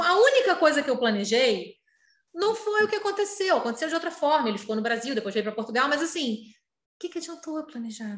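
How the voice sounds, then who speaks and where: A woman's voice: on the high side, fairly bright tone, echoey room. A middle-aged Brazilian woman telling personal stories openly and expressively in a casual conversation. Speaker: interview guest.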